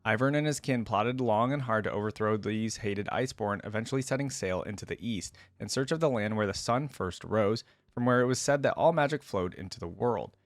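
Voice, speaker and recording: A man's voice: fairly low pitch, a little fast, hardly any pauses, no room sound. A man hosting a reaction video, moving between casual, informal commentary and reading a story aloud. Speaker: YouTube reaction host.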